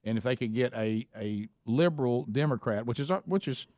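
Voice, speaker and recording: A man hosting call-in talk radio, taking callers and talking in halting restarts. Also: medium pitch, expressive, darkish tone, no echo, clean studio sound. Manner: takes calls, speaks in halting restarts. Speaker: radio show host.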